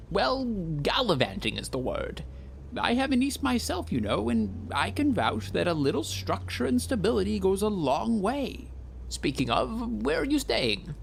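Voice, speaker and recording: A man voicing a fussy, meddling, well-meaning middle-aged driver in an audiobook, long-winded and proper, with hemming pauses. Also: high-pitched, creaky, a little hiss or hum, pitched above the performer's own voice, full character voice, rough recording.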